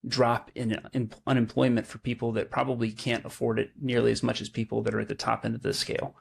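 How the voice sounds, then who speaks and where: Gravelly-voiced man hosting a chat. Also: mid-range voice, little pitch movement, fast talker. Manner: conversational, figures through numbers aloud. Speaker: podcast host. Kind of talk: casual chat. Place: local news podcast studio.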